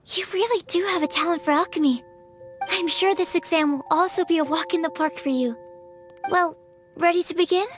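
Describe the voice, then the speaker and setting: An actress playing a sweet, earnest young alchemist in an anime game, speaking gently and politely. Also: female voice, extremely high pitch, brisk, faint music behind. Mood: encouraging.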